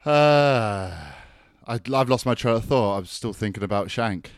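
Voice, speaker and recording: A man's voice: medium pitch, unhurried, clean tone, no echo, clean studio sound. A Welsh man co-hosting a podcast, chatting casually in a self-deprecating way that often trails off. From Wales. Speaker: podcast co-host. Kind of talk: casual chat.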